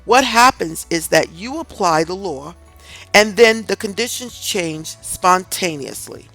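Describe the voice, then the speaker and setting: A woman's voice: on the low side, animated, a little slow, pushed and bright, dry recording, rough recording. Woman reading a self-help audiobook, dry sound. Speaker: audiobook reader. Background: music in the background.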